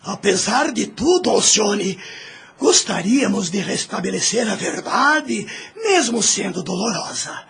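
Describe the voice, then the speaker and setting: A man playing a gentle, solemn old grandfather in an audio drama, speaking formally and paternally with a husky, creaky voice. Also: sits high, big pitch swings, a little slow, full character voice. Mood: earnest, concerned.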